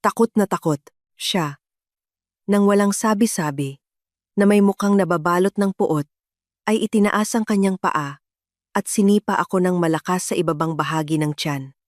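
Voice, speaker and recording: A woman's voice: fairly low pitch, moderate pace, long pauses, dry recording, clean studio sound. A woman reading a dramatic first-person story as a scripted narration.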